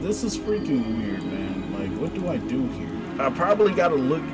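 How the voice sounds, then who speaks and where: A man giving excitable, slangy let's-play commentary, exclaiming often and reading game text aloud, with game music behind him. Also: mid-range voice, moderate pace. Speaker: gaming video host.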